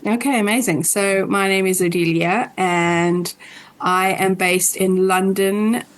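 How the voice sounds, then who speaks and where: A woman's voice: medium pitch, steady tone, slow pace. A woman in her forties with a South African accent, chatting easily as a new podcast co-host. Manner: chatty, laughs easily, many ums. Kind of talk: casual podcast chat.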